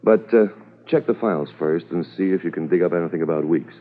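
An actor playing a brisk, authoritative police commissioner in a 1950s radio drama, methodical and official. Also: male voice, sits low. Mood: businesslike, briefing. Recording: muffled, thin old radio recording.

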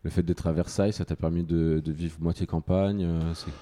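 Deep-voiced man hosting a podcast. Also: little pitch movement, brisk, mellow, no room sound. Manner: smooth read intro, then hesitant questions with fillers. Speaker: podcast host. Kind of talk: scripted intro then interviewing.

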